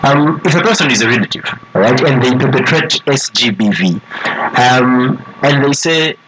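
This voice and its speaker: A man with a Zambian accent hosting a radio interview conversationally, with hesitant, halting phrasing and switches between languages. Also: mid-range voice, animated, heavy background noise. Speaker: radio host.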